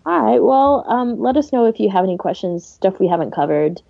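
A woman's voice: mid-range voice, animated, fairly quick, gravelly, no room sound. A woman hosting a podcast in a casual, chatty style, on a dull, muffled recording. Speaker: podcast host. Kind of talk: casual chat.

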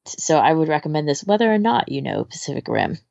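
A woman's voice: low-pitched, big pitch swings, fairly quick, vocal fry, a little mellow, no room sound, dull audio. A woman in casual, informal chat as a podcast co-host, rambling and hesitant as she gives recommendations, often trailing off. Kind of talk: casual chat, recommending fan fiction audio.

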